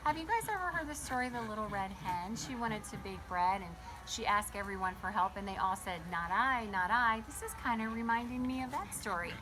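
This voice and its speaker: A woman hosting a children's storybook read-aloud outdoors, chatting in an animated way and putting questions to her young listeners. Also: medium pitch, fairly quick, hardly any pauses, over music, low-quality recording. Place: garden, sitting outdoors by a small table fort.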